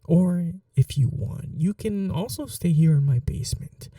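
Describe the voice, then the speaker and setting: A man playing an obsessive, doting kidnapper in an intimate ASMR roleplay, speaking softly and breathily with a halting, coaxing tenderness. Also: high voice, animated, no echo, studio quality, distant mic. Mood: gentle, resigned. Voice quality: soft, breathy, dark. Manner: gentle, halting, coaxing reassurance. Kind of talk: intimate ASMR roleplay, often near whispering.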